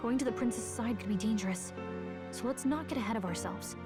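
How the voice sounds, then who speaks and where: A woman's voice: on the high side, fairly quick, loud music behind the voice, lightly acted. A woman playing a cautious, sensible companion in a video game, speaking matter-of-factly. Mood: cautious, sober. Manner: matter-of-fact.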